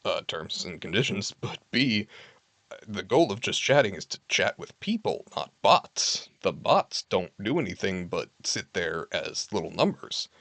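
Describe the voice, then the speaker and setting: A man's voice: sits low, sing-song, unhurried, a bit bright, no room sound. A man streaming from home, chatting casually with his viewers in a candid, wry way. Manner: candid, wry, reads list items aloud and comments.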